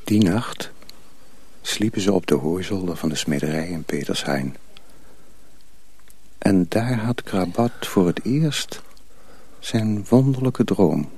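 Husky, unhurried male narrator. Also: sits low, darkish tone. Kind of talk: scripted storytelling narration for radio drama.

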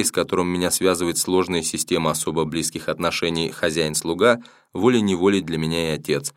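Male audiobook narrator, low voice, steady matter-of-fact first-person read, dry close recording. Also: no echo. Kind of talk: first-person novel reading.

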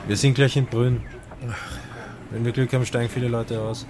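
A man's voice: medium pitch, little pitch movement, normal speed, a crowd in the background, lightly acted. An actor playing an easygoing Viennese male traveller, casual and colloquial, in a radio drama. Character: easygoing Viennese male train passenger, gardener's son. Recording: loud vehicle noise behind.

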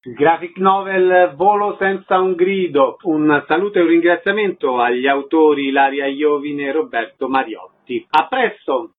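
Higher-voiced male radio interviewer, slightly dull sound. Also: normal speed.

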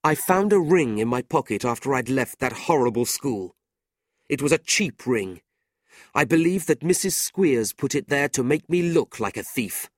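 A man's voice: mid-range voice, expressive, normal speed, no echo, clean studio sound, voice pushed up, natural voice. A man voicing a proud, honest young man who speaks earnestly and flares with indignation, in a storybook reading. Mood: indignant.

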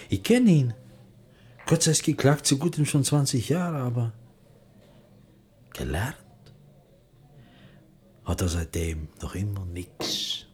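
An actor playing a proud old bookseller who grumbles in broken, heavily coloured German. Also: male voice, fairly low pitch, darkish tone, heavily acted. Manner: heavy Yiddish-flavoured broken German, fussy, dismissive. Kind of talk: radio play acting.

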